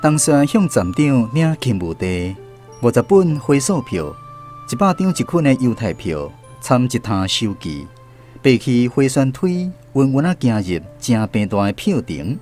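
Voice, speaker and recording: A man's voice: mid-range voice, sing-song, a little slow, a little mellow, faint music behind. A man with a Taiwanese accent narrating a scripted literary radio drama as a storyteller.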